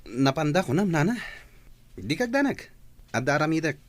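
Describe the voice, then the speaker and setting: A man's voice: a little high, sing-song, normal speed, no room sound. A man playing an evasive, easygoing young son in a radio comedy drama, breezy and reassuring.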